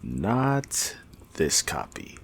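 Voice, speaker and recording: A man playing a learned, guarded older mage in a fantasy audio drama, speaking formally and deliberately in a gravelly, husky voice. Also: deep voice, huge pitch swings, full character voice. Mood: guarded, reflective. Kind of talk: fantasy audio roleplay acting.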